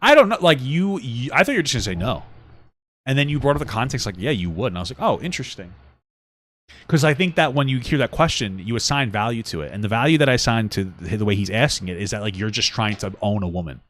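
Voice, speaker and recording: A young man co-hosting a casual couple podcast at home, rambling and profane, often dropping into mocking high-pitched voices. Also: animated, fast, a bit bright. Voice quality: smooth voice. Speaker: podcast co-host. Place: home room with a fan.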